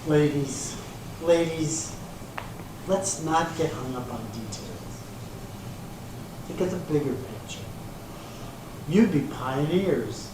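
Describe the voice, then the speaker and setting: Far off in a very echoey, noisy hall, a man acts out a slick promoter delivering his pitch slowly. He is grandiose and placating, selling hard in stage-reading style. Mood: defensive, placating. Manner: salesman's patter, grandiose, placating. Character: slick, smooth-talking male promoter pitching a glamour calendar. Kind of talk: readers theater stage acting. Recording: echoey hall from far off, hissy room noise.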